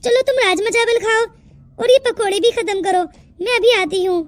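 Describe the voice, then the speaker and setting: A woman's voice: extremely high pitch, normal speed, no echo, studio quality. A woman voicing a chatty, condescending young office worker in a cartoon moral story. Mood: smug. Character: smug, snobbish young female office worker. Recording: music behind the dialogue.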